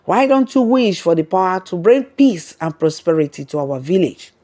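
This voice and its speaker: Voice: medium pitch, sing-song, moderate pace, warm tone, close to an everyday voice. A storyteller voicing a hopeful, grateful ten-year-old village girl in a folktale, speaking earnestly.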